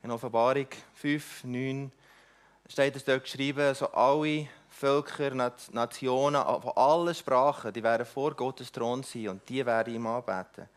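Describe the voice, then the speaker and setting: A middle-aged man whose first language is not German gives an earnest church talk about mission, halting often with restarts and fillers. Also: mid-range voice. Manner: earnest, inviting. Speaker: mission speaker.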